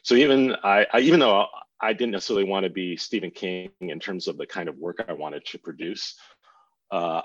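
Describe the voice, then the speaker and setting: A middle-aged American man with a husky voice, telling stories about himself as an interview guest in a casual, rambling, self-deprecating way. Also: deep voice, a little fast. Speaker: guest author. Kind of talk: reflective interview storytelling. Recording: dull, roomy lo-fi sound.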